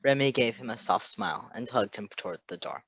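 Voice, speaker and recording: A man's voice: mid-range voice, expressive, fairly quick, vocal fry, a bit bright, no room sound, muffled recording. A man reading a piece of fiction aloud as narrator, in scripted story narration. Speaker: fan fiction reader.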